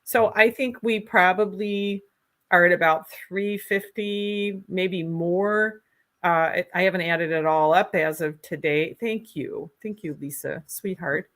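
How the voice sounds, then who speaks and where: A woman with a thick Minnesota accent talks through a remote interview, a little low-pitched for a woman. She speaks earnestly and halts often, with ums and restarts as she works through her points. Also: unhurried, a bit bright. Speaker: candidate for Secretary of State. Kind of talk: political interview, conversational.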